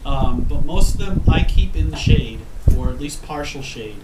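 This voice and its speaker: A man's voice: a little high, expressive, normal speed. A man in his thirties with a head cold, talking casually to the camera about his plants on a windy patio, rambling and restarting his sentences as he goes. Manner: rambling, self-correcting, frequent ums. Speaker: gardening vlogger. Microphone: camera mic from a few feet away. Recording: roomy, distant camera audio with wind noise.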